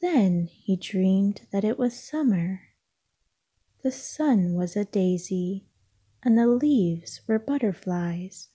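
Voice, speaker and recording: A woman reading a children's picture book aloud as narrator, in a calming, gentle, hushed bedtime-story manner. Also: mid-range voice, slightly rough.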